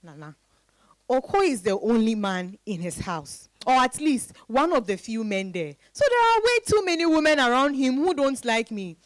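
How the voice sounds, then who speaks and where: Earnest younger woman played by an actress, fairly high, expressive, defensive and insistent; dramatized reading. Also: moderate pace. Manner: insistent, reasoning, emphatic repetition. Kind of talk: dramatized stage-style reading.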